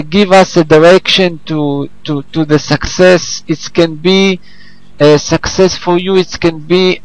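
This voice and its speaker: A man who is not a native English speaker, teaching informally on a group call in a halting, repetitive way as he searches for words. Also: high-pitched, gravelly, clear, resonant tone, dry recording, rough recording. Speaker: host and teacher of an online English class. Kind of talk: informal lesson on a conference call.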